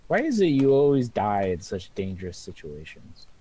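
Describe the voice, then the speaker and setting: A man's voice: lowish voice, wildly swooping pitch, moderate pace, warm tone, slightly rough. A young man gaming with a friend, making dry, teasing remarks. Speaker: gaming channel co-host. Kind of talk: casual gameplay commentary.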